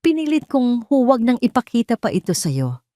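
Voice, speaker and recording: A woman voicing a calm, sorrowful, manipulative older mother, gentle and measured, in an audio drama. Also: fairly high pitch, dry recording, voice pushed up.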